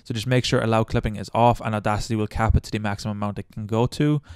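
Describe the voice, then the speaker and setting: A man narrating a casual step-by-step software tutorial in a chatty, informal way on a good close microphone. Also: fairly low pitch, level tone, racing, smooth, dry recording. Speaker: tutorial host. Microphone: good close microphone, voice-over.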